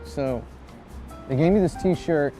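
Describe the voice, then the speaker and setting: A man in his thirties from Indiana telling a self-mocking anecdote in a rambling, animated way, as a video game reviewer. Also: a little high, slow pace. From Indiana, USA. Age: 30s. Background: music.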